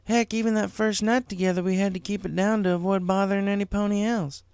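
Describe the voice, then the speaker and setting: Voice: mid-range voice, steady tone, fast talker, darkish tone, smooth, no echo, pitched above the performer's own voice, lightly acted. A performer voicing an earnest, troubled young female farm pony in a story reading. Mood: troubled, tearful.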